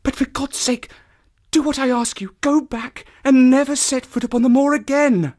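A narrator voicing a proud, eager young woman in a hushed, urgent storybook manner. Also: steady tone, moderate pace. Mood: urgent warning. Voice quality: low eager voice with a lisp.

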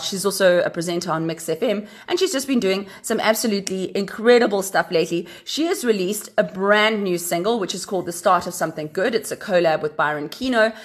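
A woman's voice: medium pitch, a bit bright, breathy edge. An enthusiastic South African woman hosts radio in a mid-range voice. She presents and interviews in an upbeat, effusive way. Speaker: radio show host.

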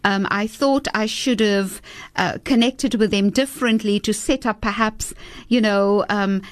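A woman hosting a radio interview, asking thoughtful, measured questions. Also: mid-range voice, dry recording, clean studio sound. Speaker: radio host.

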